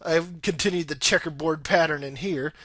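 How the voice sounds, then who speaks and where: Young man, slightly high pitch, bright forceful tone, informal gameplay commentary, rambling, casual swearing. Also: moderate pace, dry recording, clean studio sound. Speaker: video game let's play host.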